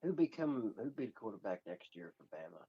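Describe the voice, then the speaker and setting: A man co-hosting casual sports banter in a teasing, deadpan way. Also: on the low side, fairly quick, husky, dull audio. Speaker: co-host.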